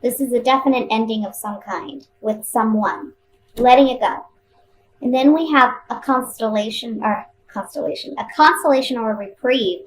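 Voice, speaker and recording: A woman giving a casual, improvised tarot reading to viewers, talking conversationally and thinking aloud with pauses and repeats. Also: fairly high pitch, rough recording. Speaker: tarot card reader.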